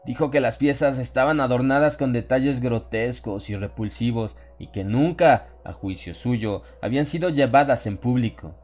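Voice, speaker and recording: A man reading a first-person horror story as a scripted audiobook, with measured, solemn and dramatic delivery. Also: medium pitch, darkish tone, faint music behind. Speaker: audiobook narrator.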